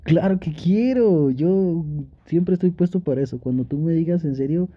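A man's voice: on the high side, moderate pace, dull audio, lightly acted. A young man playing an affectionate, teasing, pouty boyfriend in an intimate ASMR roleplay, talking playfully and pleadingly with sweet pet names. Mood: eager, surprised. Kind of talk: Spanish ASMR boyfriend roleplay, intimate one-sided dialogue.

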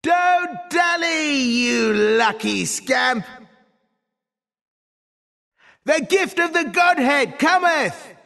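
A man voicing a sly, gleeful spider creature in a gothic fantasy game, husky and theatrical, with wheedling, archaic phrasing. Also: extremely high pitch, expressive, slow, bright, forceful tone, no echo, clean studio sound, full character voice. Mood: manic glee. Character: sly, gleeful, obsequious spider-bodied trickster zealot.

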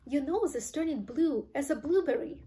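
Female reader as frantic mother, high-pitched, screaming, indignant, exclamatory, storybook character. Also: animated, normal speed, breathy edge. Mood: horrified shrieking.

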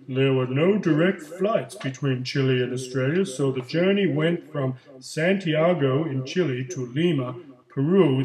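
A man playing an older male Chilean migrant who tells his story in a plain, steady way, in a school project role-play interview. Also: a little high, reverberant, lightly acted, rough recording.

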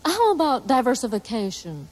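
A woman voicing a brisk, pushy alien reporter in a cartoon, asking in a probing way. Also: fairly high pitch, wildly swooping pitch, darkish tone, in a large hall, rough recording. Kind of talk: cartoon character acting.

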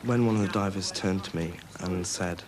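Very deep-voiced young man. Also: normal speed. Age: young adult. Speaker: interviewee, rescued caver. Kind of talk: reflective interview recollection. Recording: clear background noise.

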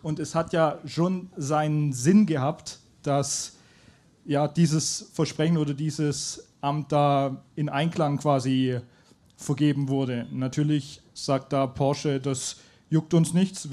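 A man speaking as a guest on a live football panel, explaining a point in a measured way. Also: on the high side, steady tone, dark tone. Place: pub stage before a live audience.

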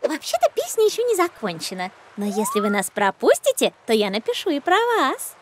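A woman's voice: high-pitched, huge pitch swings, a little fast. A woman voicing a spirited, confident little girl bard in a cartoon, dramatic and bossy. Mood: persuasive. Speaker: cartoon character. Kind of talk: animated cartoon acting. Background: music.

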